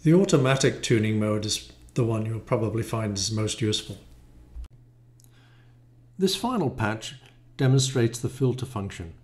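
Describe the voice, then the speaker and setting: A man's voice: fairly low pitch, lively intonation, normal speed, pauses often, a little mellow. Male, 60s, technical presenter, hesitant explanatory delivery, echoey small-room sound. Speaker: module designer presenting a demo. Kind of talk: explanatory product demo, semi-improvised. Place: small home studio room, indoors. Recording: roomy, echoey home room.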